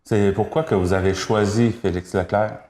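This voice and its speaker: A man with a fairly low voice hosts a local television interview. He asks polite questions in a halting way, and the sound carries a roomy echo. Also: steady tone, a little mellow. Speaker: TV host.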